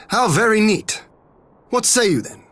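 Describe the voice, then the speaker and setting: An actor playing a shrewd, theatrical strategist in a video game cutscene, speaking in deliberate, formal phrases with a husky voice. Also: male voice, high-pitched, expressive, fairly bright tone, full character voice. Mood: pleased, wry. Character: shrewd, theatrical male strategist, musing and confident.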